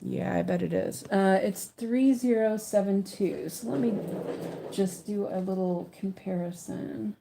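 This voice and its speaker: A woman's voice: mid-range voice, measured pace, vocal fry, dark tone, a little hiss or hum. A woman living in Sweden, chatting casually in a rambling way with many restarts while she works on a stitching project. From English-speaking country, living in Sweden. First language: English. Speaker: stitching channel host.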